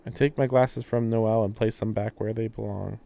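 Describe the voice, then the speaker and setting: A man's voice: on the low side, steady tone, a little fast, creaky, warm tone, highs cut off. A man streaming a visual novel, reading the text aloud and adding casual, questioning commentary. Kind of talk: live game commentary and read-aloud of on-screen text. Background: game music.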